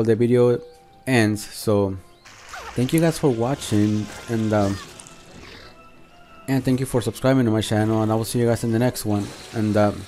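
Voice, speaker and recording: Guy chatting over gameplay, soft mellow voice, kinda halting, faint music behind him. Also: medium pitch. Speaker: video game review channel host. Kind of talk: casual unscripted gameplay commentary.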